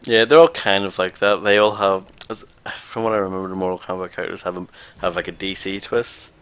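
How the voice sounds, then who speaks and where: A man's voice: sits low, fairly even intonation, a little fast, fairly bright tone, clear, resonant tone. A man hosting a gameplay video, talking casually as he plays. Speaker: video host playing a game.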